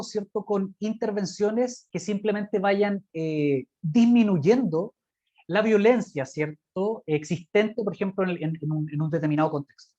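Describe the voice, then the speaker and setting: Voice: medium pitch, darkish tone. A lecturer with a Chilean accent presenting informally from slides over a video call, speaking hesitantly and often seeking agreement with little tag questions. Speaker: guest lecturer. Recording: muffled lo-fi video call audio.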